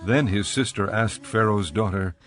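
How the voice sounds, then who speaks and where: A man with a fairly low voice narrates scripture in a measured, scripted storytelling style. Soft music sits behind him.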